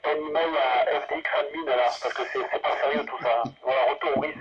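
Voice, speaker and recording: A man's voice: on the high side, fairly even intonation, a little fast, a loud crowd. Man rambling over muffled two-way radio. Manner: rambling, hesitant. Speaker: amateur radio operator. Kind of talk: casual radio chat. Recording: muffled, narrow-band two-way radio transmission.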